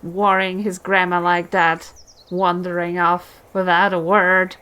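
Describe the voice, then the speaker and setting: A woman's voice: on the low side, flat delivery, measured pace, bright, forceful tone, clean tone. A woman, talking casually in character as a player in a tabletop game.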